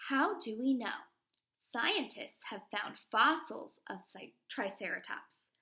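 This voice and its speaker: A woman's voice: a little high, sing-song, slow, creaky, pushed and bright. A woman in her thirties, a primary school teacher, reading aloud to young children and explaining things simply with questions along the way. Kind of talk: children's read-aloud lesson.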